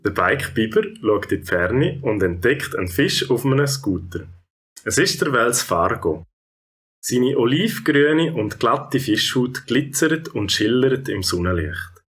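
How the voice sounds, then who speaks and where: A man with a Swiss German accent narrating a children's story in an expressive storytelling way. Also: mid-range voice, unhurried, breathy edge.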